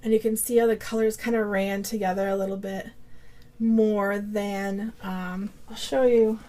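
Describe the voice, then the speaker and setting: A woman with a slight cold hosts a casual show-and-tell about her hand-dyed yarn. She speaks slowly and unhurriedly, at a middling pitch, wandering through her thoughts with plenty of fillers and restarts. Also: flat delivery, warm tone. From Vermont, USA. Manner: rambling, many fillers and restarts. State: has a cold. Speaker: yarn dyer and podcast host.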